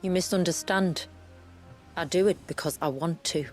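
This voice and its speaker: An actress playing a steadfast village elder and guide in a video game, speaking in formal, measured, archaic phrases. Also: female voice, on the low side, faint music behind, lightly acted. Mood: solemn. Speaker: village elder guiding travelers. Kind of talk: video game cutscene acting.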